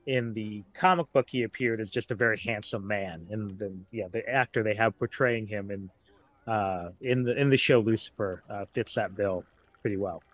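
A man's voice: lowish voice, moderate pace. A middle-aged man talking casually and discursively as a podcast guest.

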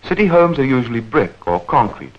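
A man's voice: medium pitch, big pitch swings. 1940s newsreel man, muffled vintage sound. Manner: measured, clearly enunciated, explanatory. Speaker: narrator. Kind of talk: 1940s educational newsreel narration, scripted.

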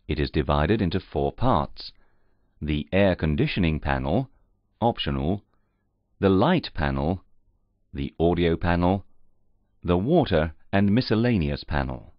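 A man reading scripted instructional narration in a formal, measured, carefully enunciated way. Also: low voice, no echo. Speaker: training narrator. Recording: slightly muffled, dull audio.

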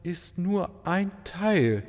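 A man's voice: high voice, expressive, drawn-out words, a little mellow, exaggerated voice. A man playing a courteous, dignified travelling maharaja in a fairy-tale audio comedy, speaking in formal, flowery euphemisms. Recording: long reverberant hall sound.

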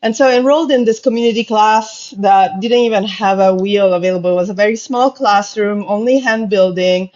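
A woman's voice: medium pitch, fairly quick, few pauses, a little mellow. A woman from Italy with an Italian accent, a pottery artist telling her story in an interview, thoughtful and sometimes searching for words. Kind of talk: casual interview chat. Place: indoor room with bookshelves. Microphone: headphones on a video call. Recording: slightly dull lo-fi call audio.

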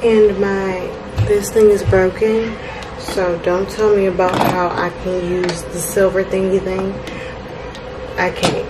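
A woman's voice: mid-range voice, level tone, slow pace, background noise. A young woman in her bedroom, chatting casually about her crochet plans, thinking aloud and correcting herself. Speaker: crochet vlogger. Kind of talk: casual chat. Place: bedroom, indoors.

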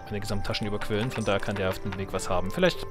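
Fast-talking low-voiced man commenting on a game. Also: steady tone, over music. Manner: thinks aloud, addresses viewers. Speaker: gaming host. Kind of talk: casual let's play commentary.

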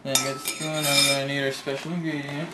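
A man's voice: mid-range voice, flat delivery, quick pace. Young man in a noisy, echoey kitchen. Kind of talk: casual joking chat. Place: home kitchen, indoors. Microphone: handheld camera's built-in microphone. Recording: noisy echoey room, clattering dishes, amateur camera.

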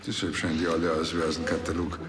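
A man's voice: a bass voice, completely flat delivery, rapid-fire, noisy background. An actor playing a puzzled, chatty policeman in a TV crime comedy. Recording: echoey hall-like sound with music.

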